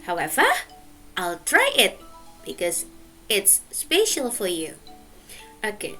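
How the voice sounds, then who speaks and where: A woman teaching an English lesson to junior high students, speaking slowly and carefully. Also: soft background music. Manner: slow, careful teacher's talk with lively pitch.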